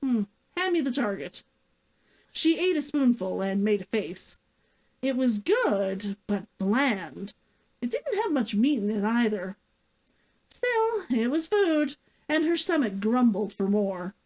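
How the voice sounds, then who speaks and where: Expressive higher-voiced woman reading fiction aloud. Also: slow pace. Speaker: author and read-along host.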